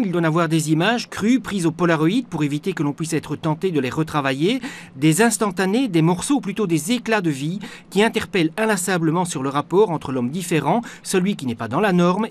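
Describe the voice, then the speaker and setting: A man's voice: fairly high pitch, lively intonation, fairly quick, a little hiss or hum. A man reading scripted voice-over narration as a reporter.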